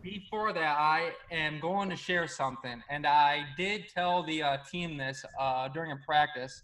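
A man's voice: a little high, a little slow, fairly bright tone, low-quality recording. A young man in his twenties who stutters, speaking hesitantly with many fillers as he hosts a casual chat. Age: 20s. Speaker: podcast host, assistant coach. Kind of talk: casual conversation.

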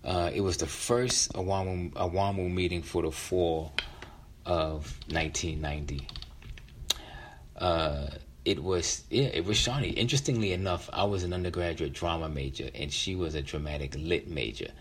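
A man's voice: low-pitched, moderate pace, slight background noise. A middle-aged man telling a personal story in a casual, conversational way as a storyteller.

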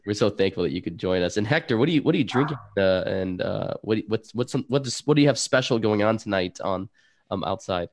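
A middle-aged man hosting a podcast live stream, talking in a halting way with many fillers. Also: lowish voice, lively intonation, a little mellow, no room sound, studio quality. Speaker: podcast host. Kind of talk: casual live-stream chat.

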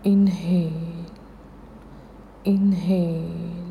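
Indian lady doing guided meditation, really slow n soothing, big echoey reverb w a bit of noise. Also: lowish voice, level tone, gravelly, muffled recording. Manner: soothing, unhurried instruction in short phrases. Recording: long hall reverb, faint background noise.

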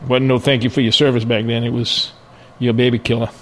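A man's voice: medium pitch, little pitch movement, fast talker, a little hiss or hum, poor audio. An older man with a Cajun accent, telling folksy stories from his life with frequent restarts and chuckles. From southern Louisiana. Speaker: interviewee. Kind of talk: personal storytelling.

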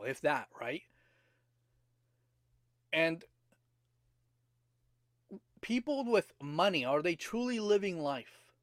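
Slightly hoarse male podcast host, high-ish voice, dry close sound, earnest and questioning. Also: no room sound. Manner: earnest, repeats rhetorical questions to the listener. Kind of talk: motivational podcast monologue.

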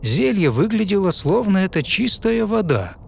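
A man narrating a fairy tale in verse as a storyteller, with measured, rhythmic, dramatic delivery. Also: high-pitched, sing-song, gravelly, background noise. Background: musical score.

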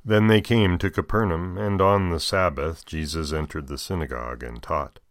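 An American man serving as a deacon, reading scripture and adding folksy, deliberate commentary. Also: low voice, fairly even intonation, no room sound, studio quality. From United States.

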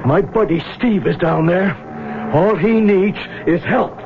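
An actor playing a gruff, loyal and determined diver in a radio drama, speaking in clipped, urgent commands. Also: male voice, high voice, a little slow. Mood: grim, determined. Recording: muffled old radio recording, noisy background.

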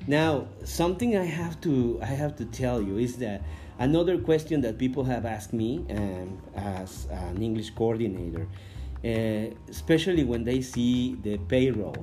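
A man whose first language is Spanish, an English teacher and coordinator, talking to camera, thoughtful and full of "uh" pauses. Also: on the low side, lively intonation, warm tone. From Mexico. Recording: guitar music playing under the voice. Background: guitar music.

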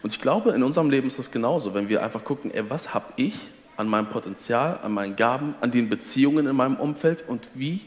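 A man from Ostwestfalen explains faith in an earnest, teacherly tone, citing scripture as he goes. His voice sits at a middling pitch. A long reverb hangs on the room around him. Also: animated, fast talker, few pauses. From Ostwestfalen, Germany. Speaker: guest. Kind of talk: faith conversation.

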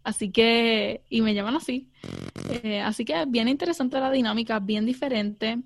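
A woman's voice: fairly high pitch, moderate pace, no echo. Chatty Puerto Rican woman, dry sound. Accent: Puerto Rican Spanish. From Puerto Rico. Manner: earnest, chatty, many fillers, mixes in English words. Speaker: theology student, interviewee. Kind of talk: casual interview answers.

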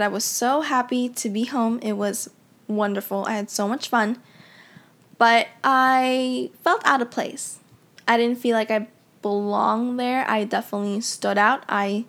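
A young woman who grew up in the Philippines, reflecting in a casual, conversational way with frequent fillers as she tells her own story. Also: mid-range voice. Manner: reflective, conversational, many "you know" fillers. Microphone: audio only, unseen.

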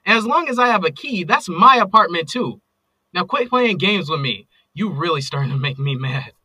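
An actor with an American accent playing a possessive, manipulative ex-boyfriend in an audio drama, coaxing and volatile. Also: male voice, sits high, very animated, moderate pace, sharp tone, breathy edge, no room sound, exaggerated voice, rough recording. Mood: irritated, menacing. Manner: volatile, coaxing with pet names, swearing. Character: possessive, manipulative abusive ex-boyfriend, pleading and menacing.

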